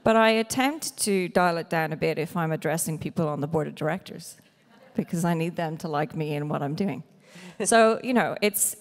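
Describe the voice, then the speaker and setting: A woman's voice: sits low, normal speed. A woman in her forties on a conference panel, talking frankly and laughing often. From Ireland. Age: 40s. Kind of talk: panel discussion. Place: large conference hall stage. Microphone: handheld mic. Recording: reverberant hall.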